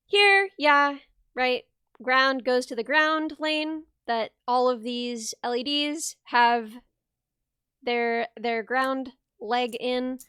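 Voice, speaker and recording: A woman's voice: high-pitched, takes it slowly, sharp tone, smooth, no echo, clean studio sound. A young woman hosting a live soldering stream, bubbly and laughing often as she explains her work step by step.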